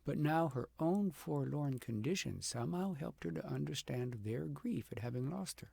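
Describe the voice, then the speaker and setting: A man narrating a fairy tale aloud as an audiobook, with dramatic, deliberate phrasing that swells for emphasis and drops to a hush. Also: fairly high pitch, lively intonation, gravelly, husky, dry recording. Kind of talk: expressive storybook narration.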